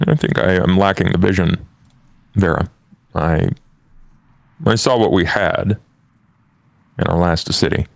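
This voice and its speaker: A man acts out a courteous, reserved male vampire in a tabletop roleplaying game. The voice is very low and creaky, recorded dry and close. He picks his words formally, with measured hesitation. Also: dry recording. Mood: hesitant, doubtful.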